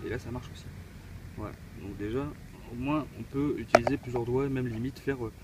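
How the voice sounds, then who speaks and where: A man's voice: medium pitch, fairly quick, heavy background noise. A man with a cold giving a casual, hesitant unboxing walkthrough as a tech reviewer, with many filler sounds. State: has a cold.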